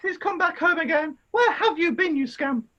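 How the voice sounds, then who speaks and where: A woman playing an excitable, gullible doting mother in a pantomime, shrill and theatrical. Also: moderate pace, dull audio. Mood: delighted. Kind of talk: pantomime acting.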